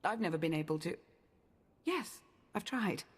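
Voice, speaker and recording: An actress voicing a composed, analytical woman in a video game, with a measured, precise way of talking. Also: lowish voice, lively intonation, husky.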